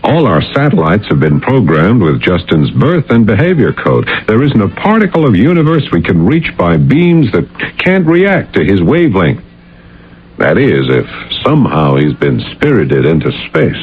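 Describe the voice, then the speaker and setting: A loyal government security man with a husky, lowish voice and lively intonation. He is brisk and conversational in vintage radio drama style, on a dull old recording. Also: heavily acted. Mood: businesslike. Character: gruff-edged male national security chief, brisk loyal aide. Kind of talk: 1980 radio drama acting.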